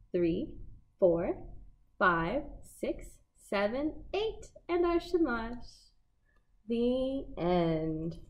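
A young woman hosting a children's storytime, reading a picture book aloud in simple, deliberate phrases for toddlers. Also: mid-range voice. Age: young adult. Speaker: children's library storytime host. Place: small indoor set with fabric backdrop.

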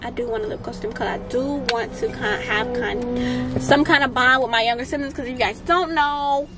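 A woman's voice: a little high, fairly even intonation, normal speed, over music. An eighteen-year-old woman vlogging in a casual, slangy, rambling way as she talks to the camera. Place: inside a moving car. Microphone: camera mounted in front, in car. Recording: loud car and road noise.

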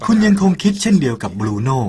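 Guy reading a parable like a pitch, talks right to you, soft music behind. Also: very animated, fast. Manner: earnest, persuasive, addresses the listener directly. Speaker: narrator. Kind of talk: scripted narration of a parable.

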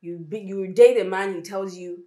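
A woman's voice: medium pitch, moderate pace. A young woman with a Nigerian accent chatting casually in a group, slipping into some Pidgin. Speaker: panelist on a talk show. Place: small hair salon, indoors. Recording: busy chatter of overlapping voices.

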